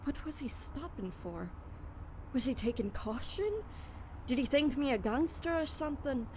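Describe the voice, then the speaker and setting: Woman doing a poor desperate young guy, really high voice, anguished n halting, spooky radio drama. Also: animated, background noise. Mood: weary, despairing. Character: desperate, guilt-ridden poor young man. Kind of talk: melodramatic audio horror drama acting.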